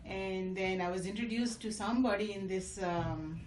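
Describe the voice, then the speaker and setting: A middle-aged woman from Fiji speaks as an interview guest in an even, mid-pitched voice, earnest and full of ums and uhs. The room rings with echo, and a faint noise sits behind her. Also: normal speed. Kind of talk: casual interview.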